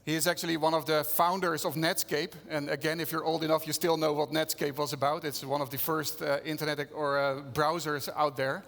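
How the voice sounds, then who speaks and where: A man with a Dutch accent gives a conference talk and keeps it conversational by putting questions to the crowd. His voice sits fairly high for a man. The sound carries a long reverb from the large hall. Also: little pitch movement, fairly quick, gravelly, few pauses, fairly bright tone. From Netherlands. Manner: conversational, engages audience with questions. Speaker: conference speaker. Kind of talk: conference keynote presentation. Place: conference venue stage.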